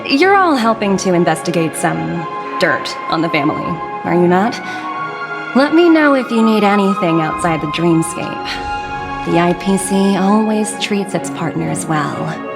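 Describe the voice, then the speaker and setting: A woman voicing a commanding corporate manager who talks in a crisp, authoritative way. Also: on the low side, big pitch swings, measured pace. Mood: weary. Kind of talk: game voice acting. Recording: loud game music behind.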